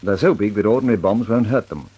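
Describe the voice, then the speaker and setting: Casting note: a fussy, worried older inventor with a low, husky voice, vintage radio play. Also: male voice, expressive, fast talker, mellow. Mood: fretful. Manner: fussy, anxious. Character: older male bomb inventor, fretful, fussy. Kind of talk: 1940s radio acting.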